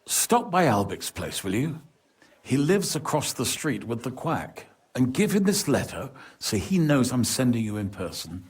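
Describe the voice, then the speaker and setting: An actor playing a worldly, anxious medieval lord in a video game, speaking in a measured, formal way. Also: male voice, mid-range voice, very animated, slightly rough. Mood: earnest. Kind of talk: video game cutscene acting.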